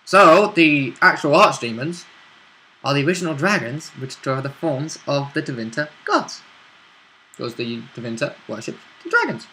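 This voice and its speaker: A man's voice: fairly high pitch, sing-song, normal speed, sharp tone, a little hiss or hum, rough recording. A man talking casually as a player in a tabletop role-play game, chipping in conversationally. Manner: interjecting, conversational.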